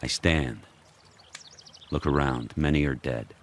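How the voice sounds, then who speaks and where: A man playing a fierce, brooding sled dog turned pack leader, telling his tale in terse, deliberate phrases in an audio drama. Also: a little hiss or hum. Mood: fierce, contemptuous. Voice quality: very deep, husky. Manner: terse, deliberate first-person narration in short phrases.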